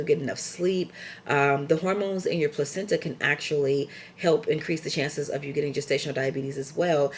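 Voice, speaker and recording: A young woman with a scratchy, raspy voice from a lingering cold, talking casually in a rambling vlog update full of ums and asides. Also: sits low. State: recovering from a cold. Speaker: vlogger.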